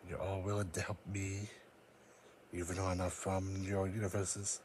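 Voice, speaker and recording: Man playing weary psychic creature for fan audio drama: low-pitched, grave, formal, noisy home recording. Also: level tone, moderate pace, a little mellow, natural voice. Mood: grave, wary. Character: solemn, weary, psychic cat-like creature, proud and wary.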